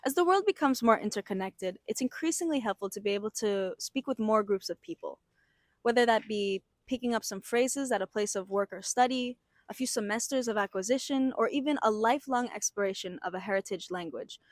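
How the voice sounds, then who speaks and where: A woman reads a scripted narration in a smooth, even voice. The sound is close and dry, with no room echo. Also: mid-range voice, fairly quick.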